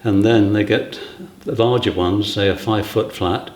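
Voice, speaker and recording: A man's voice: on the low side, fairly even intonation, moderate pace. A man explaining things casually in an interview, recorded in an echoey room. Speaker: theatre member being interviewed.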